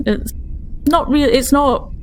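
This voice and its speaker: A woman's voice: sits high, takes it slowly, a little mellow, a little hiss or hum. A woman playing a tabletop game, casually describing what her character thinks and does.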